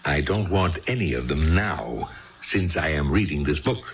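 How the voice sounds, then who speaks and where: An actor playing a stuffy, condescending, bookish husband in a 1970s radio drama, pedantic, sarcastic and coldly impatient. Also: male voice, extremely low pitch, expressive, moderate pace, muffled recording, slight background noise. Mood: pedantic, annoyed. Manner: pedantic, sarcastic, clipped precise wording.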